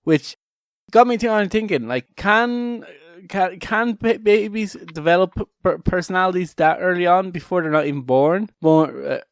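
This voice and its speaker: Man, podcast co-host, casual banter, halting and rambling, irreverent humour, very little room sound. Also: high voice, clear, resonant tone, no echo, clean studio sound. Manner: rambling, halting, often restarting sentences; joking, irreverent. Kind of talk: casual comedic podcast chat.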